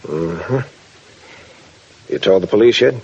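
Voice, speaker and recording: A gruff, wary businessman. His voice is very low and husky, his lines terse and measured. The sound is a dull old radio drama recording. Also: lively intonation, a little mellow, full character voice. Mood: suspicious. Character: gruff, guarded, wealthy married businessman with mistress. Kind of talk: mid-century radio drama acting.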